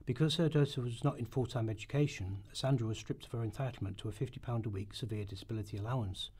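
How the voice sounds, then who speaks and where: Male narrator for radio documentary drama, mellow and somewhat raspy, reading from a script. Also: mid-range voice, quick pace.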